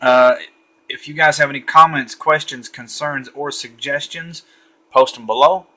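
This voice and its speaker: A man walks through a radio's features from his home desk late at night, relaxed and rambling with plenty of "uh"s. His voice has a very bright, sharp edge, captured on a camera mic with a light hiss in the background. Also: a little high, unhurried. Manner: easygoing, thinking aloud with frequent fillers. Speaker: radio reviewer. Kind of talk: casual product walkthrough.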